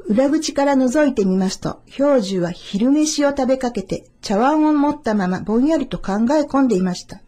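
A woman reading a children's tale as scripted narration, in a measured, expressive storytelling manner. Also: high-pitched.

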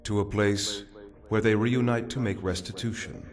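A grave guide to the judgment of souls, voiced by a man in a dubbed drama. His voice is very low and his delivery slow and weighty. Faint music plays underneath. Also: fairly even intonation, close to an everyday voice. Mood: solemn. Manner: grave, slow, measured pronouncements. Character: solemn man guiding a soul through judgment.

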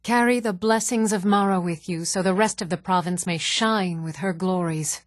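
A woman plays a serene priestess from a fantasy video game. She speaks in a somewhat low register, with solemn, lofty and measured devotion. The sound is dry, with no room around it. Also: no room sound, lightly acted. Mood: reverent, gentle. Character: serene, devout priestess of a love goddess.

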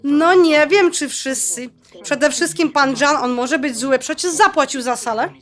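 High-voiced, feisty bride-to-be, acted by a woman. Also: measured pace, fairly bright tone. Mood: softened, hesitant. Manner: sarcastic, sassy. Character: feisty Polish-American bride-to-be, quick-tempered and sarcastic. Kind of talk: audio drama acting, Polish mixed with English slang.

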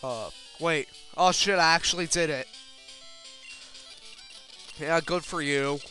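A man's voice: a little high, takes it slowly, sharp tone. A man giving casual, rambling video game commentary in a self-deprecating way, with casual swearing. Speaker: let's player. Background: video game music.

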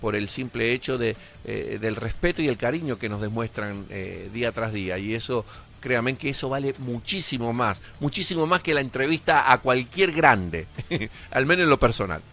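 A man's voice: medium pitch, animated, normal speed, pushed and bright, a little hiss or hum. A man, co-hosting a live show from a radio studio, chatty and rambling. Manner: chatty, rambling, gives the call-in number. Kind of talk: casual chat on a live show. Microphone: headset and studio mic.

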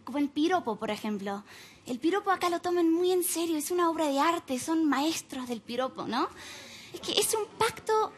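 Deadpan stand-up, young woman from the US, Argentine-tinged Spanish, very high voice, faint hiss. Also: normal speed. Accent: Argentine-tinged Spanish, American. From United States. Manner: deadpan, ironic asides with frequent tag questions. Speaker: stand-up comedian. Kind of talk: stand-up routine. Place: TV comedy club stage with live audience. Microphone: stand mic.

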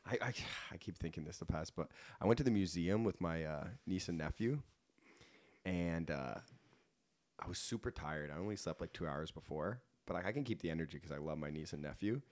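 A Canadian man talks in a loose, conversational way as a podcast guest. His pitch is lively and varied, moving around as he slips into quoted dialogue. He rambles and makes fun of himself like a comedian mid-story. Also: brisk. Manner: rambling, self-deprecating, acts out dialogue. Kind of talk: casual podcast chat with comic storytelling.

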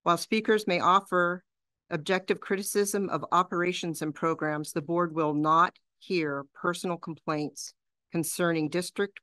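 A woman's voice: on the low side, a bit bright, no echo. A woman chairing a school board meeting, running through motions and public comment rules in a procedural way with frequent fillers. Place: large boardroom.

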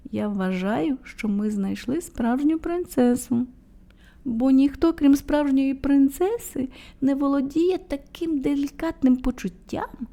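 A woman's voice: sits high, unhurried, dark tone, voice pushed up. A woman voicing a stately, proud old queen mother in a children's storybook reading. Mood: approving.

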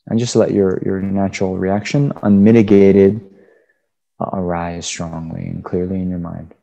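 Deep mellow guy leading a buddhist meditation, kinda halting, feeling his way through the instructions. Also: little pitch movement, vocal fry, muffled recording. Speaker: meditation teacher, Buddhist monk. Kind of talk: guided meditation instruction, unscripted.

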